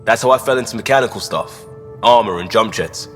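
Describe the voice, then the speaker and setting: An actor playing a plainspoken, earnest crisis responder in a sci-fi video game, casual and wry. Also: male voice, fairly low pitch, a little fast, fairly bright tone, close to an everyday voice. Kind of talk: video game character dialogue. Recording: hall reverb, faint music behind.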